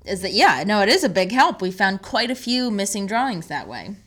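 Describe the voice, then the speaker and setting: A woman with an average-pitched voice speaks as a podcast co-host. Her delivery is casual and conversational, like one side of an informal discussion. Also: big pitch swings, fairly quick, fairly bright tone. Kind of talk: casual chat.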